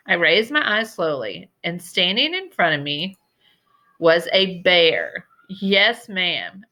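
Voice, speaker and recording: A woman reader plays an elderly, genteel lady librarian in a storybook. The voice is bright and forceful. She tells tales deliberately and dramatically, leaning on repeated phrases for emphasis. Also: fairly low pitch, heavily acted. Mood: dramatic, suspenseful. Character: sad, sighing, genteel old Southern lady librarian telling tales. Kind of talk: storybook character voice in a read-aloud.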